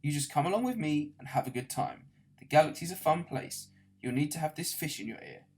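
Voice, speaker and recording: A man's voice: fairly high pitch, lively intonation, a little fast, darkish tone, breathy edge. A man voicing a breezy, self-satisfied, easygoing alien traveller in a storybook, matter-of-fact in manner.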